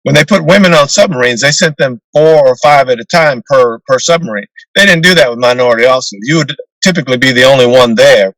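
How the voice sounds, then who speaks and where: An older man with a deep voice speaks at a middle pitch. He answers in a measured, unhurried way, with the odd filler and restart. The sound is dry and close, like a video call. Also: fairly bright tone, dry recording, poor audio. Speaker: retired naval officer and author. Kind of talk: interview answers.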